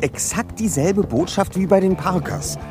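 A man's voice: sits high, moderate pace, a little mellow, soft background music, lightly acted. A man playing a self-assured, bookish teenage detective leader in a German audio drama, speaking precisely and formally. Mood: matter-of-fact. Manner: precise, bookish, matter-of-fact.

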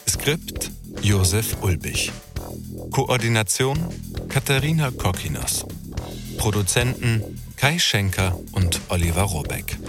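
A man reading the closing credits and release details as announcer in an even, formal manner. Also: on the low side. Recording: voice over loud guitar music.

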